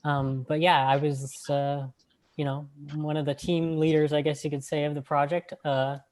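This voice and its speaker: A man's voice: on the high side, flat delivery, normal speed, a little mellow. An eighteen-year-old man who directed a film, answering interview questions hesitantly with lots of fillers. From Canada. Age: late teens.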